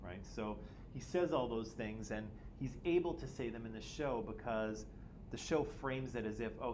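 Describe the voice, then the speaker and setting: A man teaching a class, joking with students and asking questions, often tagging "right?" onto his points. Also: on the low side. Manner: jokey, self-teasing, checks in with "right?" constantly. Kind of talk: classroom discussion. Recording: noisy classroom audio.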